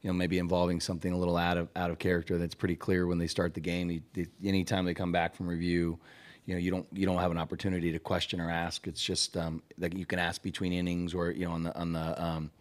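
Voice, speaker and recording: A middle-aged man, a head coach, answering press questions at a postgame press conference in halting sentences full of restarts, into a table mic. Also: sits low, monotone. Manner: halting, many restarts and fillers.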